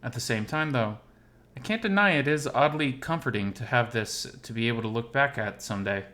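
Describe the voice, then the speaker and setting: A man's voice: medium pitch, expressive, fairly quick, bright, forceful tone. Man voicing a tender, wistful furry visual novel hero. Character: Dorian, tender lovesick male furry animal character. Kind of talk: first-person visual novel narration.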